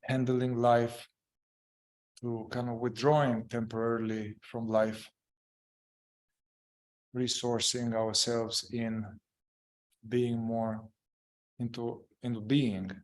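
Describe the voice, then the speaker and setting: A man guiding a meditation in gentle, unhurried short phrases, improvising as he goes and leaving long pauses. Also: lowish voice, little pitch movement. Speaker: meditation guide. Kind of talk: guided meditation, improvised spoken instruction.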